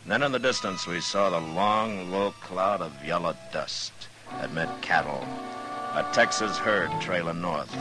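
An actor playing a weary, steady American frontier marshal in a 1950s radio western, dry, measured and understated. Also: male voice, deep voice, over music, poor audio. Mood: somber, reflective. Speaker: lead character and narrator.

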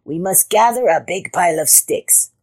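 A woman's voice: on the low side, moderate pace, a little mellow, lightly acted. A woman voicing a confident, helpful male jackal in an animal folktale, matter-of-fact and full of plans.

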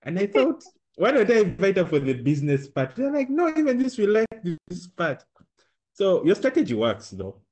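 A man hosting a podcast interview, affirming his guest often and pausing with fillers. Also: high-pitched, huge pitch swings, a little mellow. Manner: affirming, says "nice" often, hesitant fillers. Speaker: podcast host. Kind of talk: conversational interviewing.

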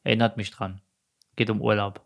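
A man's voice: fairly low pitch, level tone, brisk, vocal fry. A man co-hosting a podcast, chatting casually with flippant, jokey interjections. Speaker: podcast co-host. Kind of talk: casual banter.

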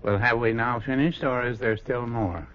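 A man's voice: mid-range voice, normal speed, a bit bright, close to an everyday voice. An actor playing a fussy, mild-mannered male pharmacist with a dry, prim, sarcastic manner in 1950s radio comedy. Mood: dryly sarcastic. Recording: dull old radio recording.

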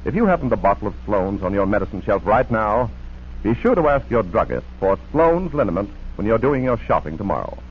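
Male 1940s announcer, emphatic salesman with lively swings in pitch, dull hissy recording. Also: brisk. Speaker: radio announcer and sponsor pitchman.

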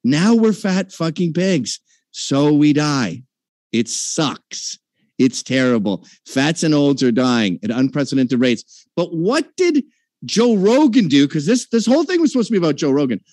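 Combative, profane male debater; lively, highish delivery, dry. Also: measured pace, dry recording. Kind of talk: heated debate.

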